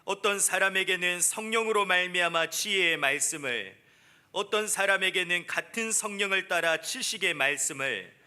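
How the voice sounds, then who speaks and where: A man reading Bible verses aloud formally at a church service, in a measured, declamatory way. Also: sits high, bright, forceful tone, clean tone. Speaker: scripture reader at church.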